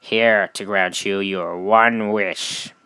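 A man's voice: fairly low pitch, steady tone, slow pace, sharp tone, smooth, no echo, voice pushed down, full character voice. A man voicing a solemn, pompous spirit who grants wishes in a comedy skit. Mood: grand. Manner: pompous, proclaiming. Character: grand, solemn wish-granting male spirit.

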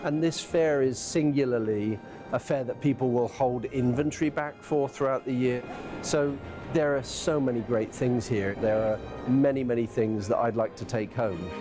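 A man's voice: medium pitch, moderate pace, over music. A man in his fifties, a gallery owner, talking enthusiastically in an interview at an art fair. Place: art fair hall, indoors.

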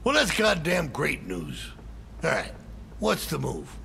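An actor voicing a cocky, flirtatious cowboy crime boss in a video game, with a gravelly, drawling, slangy delivery. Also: male voice, on the high side, huge pitch swings, slow, pushed and bright, slight background noise, heavily acted. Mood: cool, sly. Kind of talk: cinematic video game acting.

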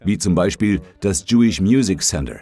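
A man speaking slowly and deliberately in an interview. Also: deep voice, expressive, dark tone. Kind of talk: interview statement.

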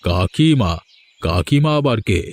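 A man's voice: fairly low pitch, expressive, slow pace, no echo. A man playing a village elder in a moral-tale cartoon, authoritative yet humbled, with a grave and dramatic delivery. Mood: surprised, questioning. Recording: music behind the voice.

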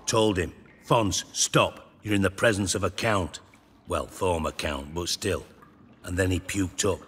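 A man's voice: deep voice, measured pace, a bit bright, breathy edge, heavily acted. An actor playing an irritable, self-important male crime boss in a video game, sardonic, clipped and foul-mouthed. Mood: dry, irritated. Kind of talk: video game dialogue acting.

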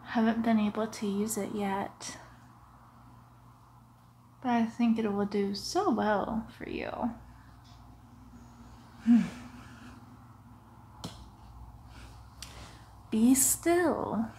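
ASMR roleplay casting: young woman as sinister female vampire doctor, highish voice, extremely slow, soothing, hushed and slightly muffled. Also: a little hiss or hum. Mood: eerily delighted. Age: young adult. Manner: slow, hushed, often whispering, soothing, deliberate. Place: living room, lying on a sofa.

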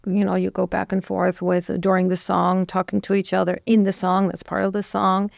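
A woman's voice: mid-range voice, monotone, moderate pace, creaky, dry recording. A woman radio host asking guests friendly, rambling questions in a studio.

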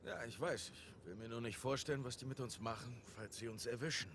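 A man's voice: medium pitch, fairly quick, noisy background, natural voice. A man voicing a worried, sarcastic thief. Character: nervous adult male thief, the older brother. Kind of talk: video game dub dialogue. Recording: game music behind.

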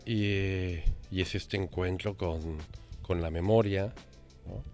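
A man in his fifties from Mexico, a radio director, speaks reflectively in an interview with measured pauses. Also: deep voice, steady tone, a little mellow, faint music behind. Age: 50s. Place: indoor library.

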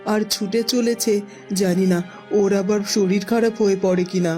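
A woman's voice: mid-range voice, fairly even intonation, moderate pace, dark tone, lightly acted. A woman voicing a commanding, worried queen mother in a fairy-tale cartoon. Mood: concerned. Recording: music behind the voice.

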